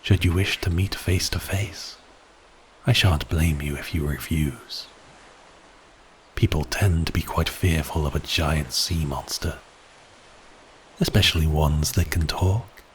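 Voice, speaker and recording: A man playing a giant cursed sea beast prince in an ASMR audio roleplay, speaking in grand archaic phrases with a teasing, theatrical manner and a breathy voice that dips into whispers. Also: extremely low pitch, slow pace, pauses often, a little hiss or hum. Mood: grand, instructing.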